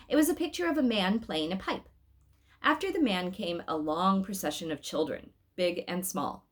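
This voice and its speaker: A woman reading a story aloud expressively, in an informal way, with little asides to her listeners. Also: fairly low pitch. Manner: animated, addresses listeners with asides.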